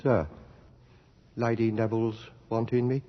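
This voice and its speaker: An actor in a radio drama playing a nervous, deferential working-class hairdresser who speaks in folksy, ungrammatical phrases. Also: male voice, mid-range voice, flat delivery, a little slow, natural voice. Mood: suspicious, anxious. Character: anxious, servile working-class hairdresser, proud of his trade. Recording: dull, noisy 1980s radio drama recording.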